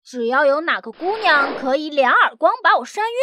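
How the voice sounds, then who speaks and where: A woman's voice: high voice, expressive, moderate pace, noisy background. A woman voicing a vain, conceited young prince who boasts about himself in a children's cartoon story. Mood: boastful. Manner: boastful, self-admiring.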